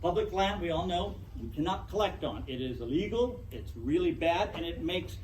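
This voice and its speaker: A middle-aged man giving a loud, projecting talk to a club audience in a meeting room. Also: on the high side, expressive, moderate pace, a little hiss or hum. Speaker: guest speaker. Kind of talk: public lecture to a club. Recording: echoey room, distant mic.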